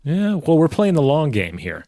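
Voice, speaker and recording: A man's voice: fairly high pitch, huge pitch swings, moderate pace, mellow, no room sound. A man co-hosting a podcast, speaking conversationally into a close mic. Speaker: podcast co-host. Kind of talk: casual chat, scripted ad reads and listener mail.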